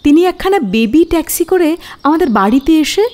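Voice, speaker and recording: A woman acting a troubled wife giving halting witness testimony in an audio detective drama, searching her memory as she speaks. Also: high-pitched, expressive, darkish tone. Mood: subdued recollection. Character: troubled wife of a missing man, hesitant witness.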